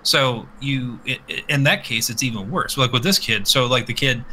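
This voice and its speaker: A man's voice: mid-range voice, moderate pace, bright, forceful tone, slight background noise, low-quality recording. A man chatting casually as a podcast host, blunt and profane, rambling through his opinions. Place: live multistreamed podcast.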